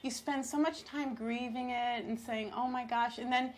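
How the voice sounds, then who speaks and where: Middle aged woman, kinda high voice, upbeat, laughs a lot. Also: fairly even intonation, normal speed. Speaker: interviewee. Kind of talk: personal reflection.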